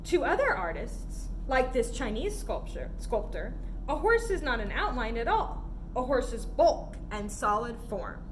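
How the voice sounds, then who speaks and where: A woman with a high, very lively voice reads a picture book aloud for children. Her delivery is animated and questioning, swooping up and down with expression. There is clear background noise on the recording. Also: a little slow. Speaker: story-time reader.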